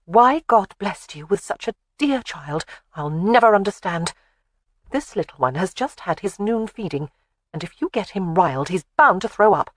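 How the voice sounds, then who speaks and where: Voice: medium pitch, expressive, moderate pace, no room sound, clean studio sound, lightly acted. The audiobook narrator voicing a protective young woman who scolds firmly. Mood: scolding. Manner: scolding, firm. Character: fierce, protective young woman caring for a baby.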